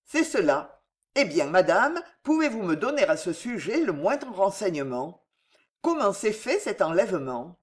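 Woman as a young chevalier, male character, formal, firm, questioning, medium pitch, expressive, storybook dialogue. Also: unhurried, breathy edge. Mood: earnest, probing. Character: courteous, resolute young chevalier, earnest and probing.

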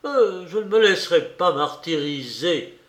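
An angry, proud man under suspicion in an audiobook, played by a male narrator. He is defiant and exasperated, pushing his words out with force. The pitch sits a little high and the room echoes around him. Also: animated, a little slow, a bit bright. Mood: exasperated defiance. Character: furious, proud, cornered male murder suspect. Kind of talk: dramatized audiobook reading. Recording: roomy, echoing voice recording.

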